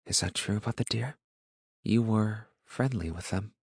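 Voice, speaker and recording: A man acting a gentle, worried country wife. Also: fairly low pitch, unhurried, husky, dry recording, studio quality, pitched above the performer's own voice. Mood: tender, hushed. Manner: soft, tender, hushed. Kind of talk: audiobook character dialogue.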